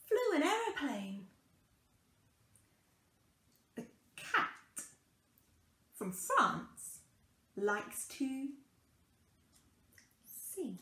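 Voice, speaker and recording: A young woman reading a children's picture book aloud in a deliberate, sing-song way with dramatic pauses, recorded in a small room at home. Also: high-pitched, fairly bright tone, reverberant. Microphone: camera a couple of metres away.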